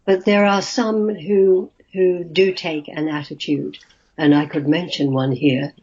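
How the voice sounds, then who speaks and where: A woman's voice: low-pitched, flat delivery, a little slow, a little mellow. Older woman telling stories over a muffled call. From Australia. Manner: anecdotal, chatty, laughs easily. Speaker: guest actress. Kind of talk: casual interview chat. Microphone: video call. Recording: muffled video call audio.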